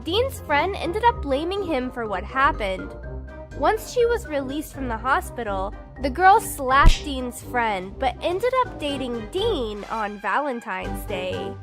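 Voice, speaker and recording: A woman narrating short anecdotes in an animated storytime style. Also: high-pitched, takes it slowly, music in the background.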